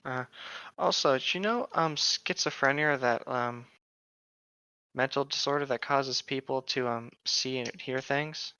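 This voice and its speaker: A man's voice: medium pitch, measured pace, bright, forceful tone, smooth, no echo, dull audio. A young man reading aloud and chatting casually as a co-host, informal and joking, sometimes stumbling over hard words. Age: young adult. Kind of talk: casual group read-aloud with joking asides.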